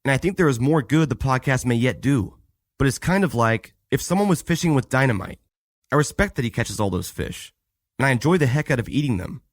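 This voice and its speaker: A young man hosting a podcast, narrating a scripted story in a measured, matter-of-fact way. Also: medium pitch, animated, no echo. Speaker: podcast host.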